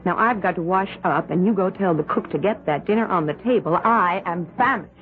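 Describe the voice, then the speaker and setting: A woman's voice: lowish voice, a little fast, natural voice. A breezy, affectionate wife played by a woman chats emphatically and trustingly in radio drama style. The sound is a thin, narrow-band old broadcast. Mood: firm, cheerful. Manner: bright, chatty, emphatic. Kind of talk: 1970s radio drama acting.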